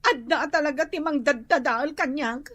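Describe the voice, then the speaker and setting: An actress playing a vain, dramatic young office worker in a radio comedy drama. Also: female voice, sits high, moderate pace, fairly bright tone. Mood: whiny. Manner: whiny, dramatic.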